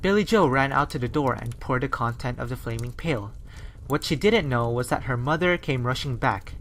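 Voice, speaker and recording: A narrator and newscaster reading a 1930s-style radio story and news report in a steady, scripted way. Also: mid-range voice, moderate pace, slight background noise. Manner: steady, read-from-script delivery.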